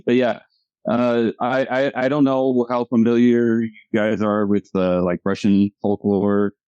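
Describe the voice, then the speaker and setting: A man explaining things casually as an interview guest, halting and hesitant, on slightly dull audio. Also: on the low side, level tone, darkish tone, clear, resonant tone, no room sound. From United States. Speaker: comic creator, interview guest.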